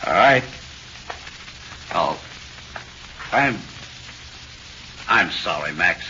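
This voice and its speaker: An American cop in an old radio play, with a deep, hard-edged voice. He speaks haltingly in street slang, gruff but awkwardly sympathetic. The sound is muffled and old. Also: expressive, sharp tone, exaggerated voice. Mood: uneasy sympathy. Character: gruff, awkwardly sympathetic male cop guarding a condemned prisoner. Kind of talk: 1940s radio acting. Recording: dull noisy 1940s radio recording.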